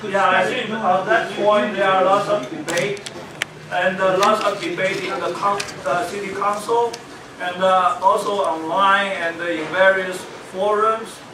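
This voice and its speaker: A man with a high voice talks haltingly, stopping often on fillers. He is heard from far away in an echoey room with clear background noise. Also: fairly even intonation. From Taiwan. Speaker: audience member at a meeting. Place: meeting room. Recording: echoey room, distant mic, noisy.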